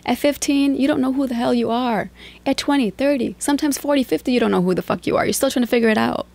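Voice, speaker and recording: A woman's voice: high-pitched, quick pace, vocal fry, a little mellow. A woman hosting a reaction video, blunt and chatty, giving her opinions plainly. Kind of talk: casual reaction commentary.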